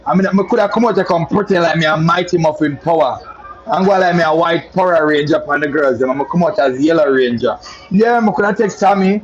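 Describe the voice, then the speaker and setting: Jamaican man, boastful patois monologue, fairly high voice, dull lo-fi sound. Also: quick pace. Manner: boastful, flamboyant patois chatter, calls listeners "my love". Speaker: social media personality. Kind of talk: casual storytelling monologue.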